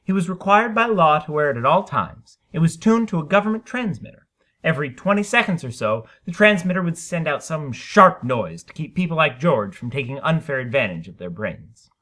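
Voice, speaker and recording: Animated, bright-voiced man reading a story. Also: on the high side, brisk. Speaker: story reader. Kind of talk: dramatic scripted narration of a short story.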